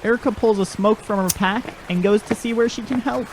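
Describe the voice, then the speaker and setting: A man's voice: high-pitched, fairly quick, slight background noise, rough recording. A man reading scripted narration for an audio drama as its narrator.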